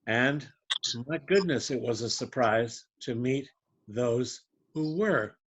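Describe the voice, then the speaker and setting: A middle-aged Canadian man giving a measured, wry impromptu speech at a club meeting. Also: mid-range voice, lively intonation, slightly rough. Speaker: club member answering a table topic.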